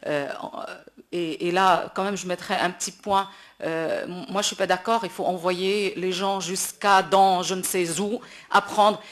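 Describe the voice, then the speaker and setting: A woman's voice: fairly low pitch, a bit bright. Woman from morocco, talking unscripted at a public debate, emphatic, repeats words while hunting for the right phrase. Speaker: classical opera singer speaking at a panel. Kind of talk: impromptu public speech at a debate.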